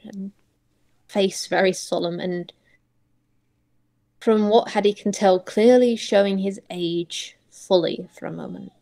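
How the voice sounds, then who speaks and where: A woman improvising story narration for a roleplay, in reflective, halting phrases. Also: medium pitch, warm tone.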